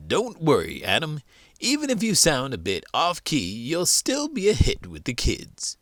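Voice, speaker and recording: A man's voice: on the high side, huge pitch swings, a little slow, a bit bright, no room sound, pitched above the performer's own voice, heavily acted. A man voicing a cheerful talking horse puppet in a playful, encouraging children's skit. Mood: upbeat encouraging.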